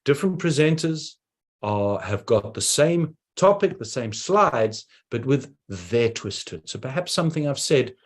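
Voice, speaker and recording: A man with a South African accent giving a persuasive online presentation, talking in quick bursts with sudden slowdowns. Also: medium pitch, lively intonation.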